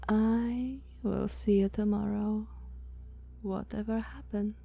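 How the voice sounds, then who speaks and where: A woman's voice: mid-range voice, steady tone, takes it slowly, creaky, dull audio. A woman with a Slavic accent playing a haughty, dominant, ancient female vampire in an ASMR roleplay, condescending and commanding, sometimes slipping toward a whisper. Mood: cool, dismissive.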